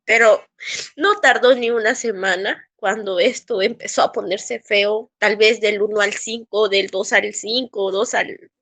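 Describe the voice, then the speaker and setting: A woman's voice: medium pitch, fairly even intonation, a bit bright. A Mexican woman recounting a painful workplace experience in an interview, acting out what others said and often restarting her sentences. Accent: Mexican Spanish. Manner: acts out quoted voices, halting restarts. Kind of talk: emotional personal testimony.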